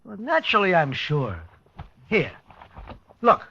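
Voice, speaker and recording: An actor playing an excitable, indignant male tailor, agitated and insistent, in 1950s radio drama style. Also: sits high, slow pace, heavily acted. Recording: dull vintage 1950s radio recording.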